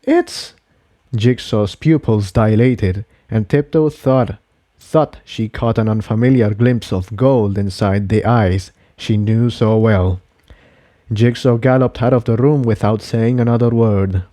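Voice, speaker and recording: A man reading scripted fiction aloud as an expressive story narrator. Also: lowish voice, measured pace, no echo, clean studio sound.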